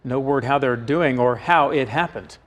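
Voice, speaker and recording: A man's voice: medium pitch, normal speed, a bit bright. A man reading scripted TV news as an anchor.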